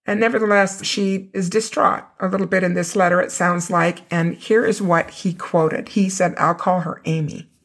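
Hoarse woman hosting a podcast. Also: lowish voice, steady tone, moderate pace, few pauses. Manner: conversational, rereads and repeats quoted passages for emphasis. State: hoarse, raw voice. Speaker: podcast host. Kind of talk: casual religious podcast talk.

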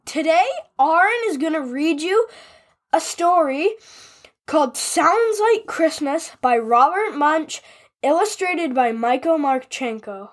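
A woman's voice: high-pitched, animated, slow. A woman giving a scripted introduction to a story as a podcast host.

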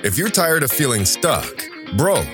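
A man's voice: mid-range voice, huge pitch swings, unhurried, music in the background. A man reading a scripted show introduction as announcer, in a promotional style.

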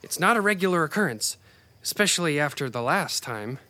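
A woman acts out a proud, bossy rabbit from a children's fantasy audio drama. The voice sits a little low and swings widely in pitch. It scolds in a haughty, exasperated way. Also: lively intonation, fairly quick, bright, forceful tone, heavily acted. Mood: wary, guarded. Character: prickly, proud rabbit companion, urgent and bossy.

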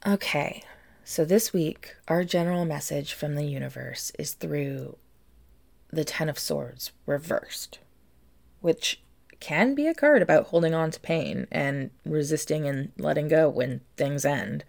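A woman's voice: deep voice, a little slow, vocal fry, a little mellow. A woman hosting a reflective solo podcast as a tarot reader, speaking earnestly and directly to her listeners.

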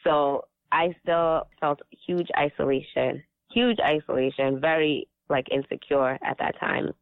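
A man's voice: fairly high pitch, fairly even intonation, measured pace, creaky, clean tone, no room sound. A man raised speaking Swahili as his first language, telling his story in an earnest, reflective casual chat. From Africa. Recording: tinny phone-like sound.

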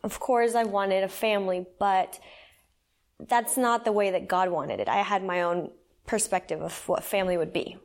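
A woman's voice: medium pitch, normal speed. Earnest woman sharing her faith story. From El Salvador. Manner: earnest and conversational, with many fillers. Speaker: guest sharing her testimony. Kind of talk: personal faith testimony.

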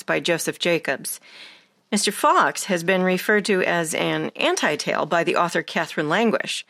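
A woman's voice: on the low side, a bit bright, dry recording, clean studio sound. A woman interviewing as a drama director, asking carefully prepared questions in a formal, scripted style.

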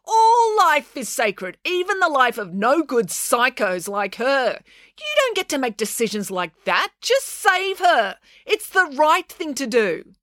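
A blunt, bossy young girl from a children's story, voiced by a woman narrator. Her pitch is high and swoops with emphasis as she makes indignant demands. The sound is dry and close. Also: very animated, normal speed, hardly any pauses, pushed and bright, no echo.

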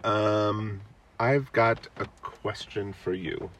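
Deep-voiced, bright dad. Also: male voice, slow pace, a little hiss or hum. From United States. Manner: relaxed, chuckling, asks lots of questions. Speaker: podcast host, parent. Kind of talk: casual chat with his child.

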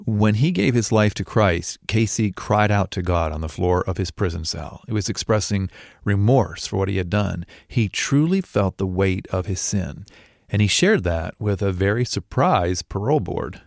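A man narrating a true story in a calm, measured scripted style. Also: fairly low pitch, gravelly, no room sound, clean studio sound.

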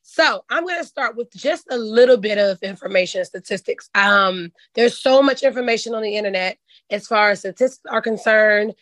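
Hoarse woman hosting a chat show. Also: medium pitch, normal speed, a bit bright, dry recording. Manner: folksy and candid, says "child". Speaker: podcast host. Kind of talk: casual chat.

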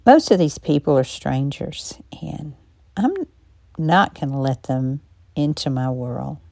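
A woman hosting her own podcast, a little hoarse today, sharing her thoughts in a calm, reflective monologue with frequent pauses and a non-native English accent. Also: very deep voice, dry recording. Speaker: podcast host. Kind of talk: personal commentary monologue.

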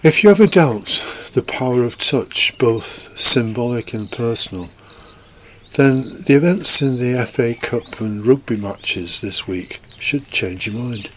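A man's voice: medium pitch, lively intonation, a little slow, fairly bright tone, a little hiss or hum. A man giving a scripted devotional talk in the role of a deacon and host. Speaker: permanent deacon hosting a homily podcast. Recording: echoey reverberant space, music behind.

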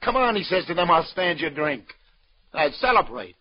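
A man's voice: on the high side, big pitch swings, normal speed. A man playing a tough, wisecracking American police lieutenant in a 1940s-style radio detective drama, with clipped slangy patter. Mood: brisk, reassuring. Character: hard-boiled, wisecracking New York police detective lieutenant. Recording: dull old radio recording.

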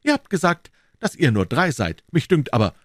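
A man's voice: a little high, wildly swooping pitch, moderate pace, no room sound, studio quality, voice pushed up. A man voicing a bold, honourable young swordsman in an audiobook, speaking in an earnest, courtly and declamatory manner. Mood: bold, courteous.

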